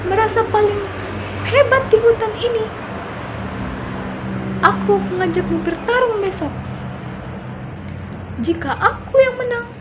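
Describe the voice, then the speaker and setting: Woman putting on a tiny angry ant, very high and shrill, loud, crying out; traffic noise behind. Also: dull audio. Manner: shrill, shouting, tearful. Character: tiny fierce ant defending its home. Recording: noisy background with passing traffic.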